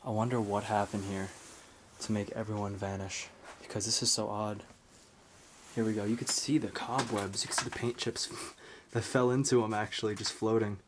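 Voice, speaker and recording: American guy exploring on camera, lowish voice, just musing out loud, bit of noise. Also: steady tone, moderate pace. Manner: unscripted, musing aloud. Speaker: urban explorer host. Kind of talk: casual exploring vlog commentary. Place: indoors, rooms of an old house.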